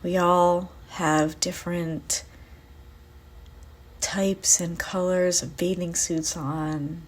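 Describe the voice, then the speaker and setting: A woman guides a visualization meditation in a slightly low voice. Her delivery is very unhurried and soothing, with long pauses. The tone is soft and breathy, at times slipping toward a whisper. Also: flat delivery.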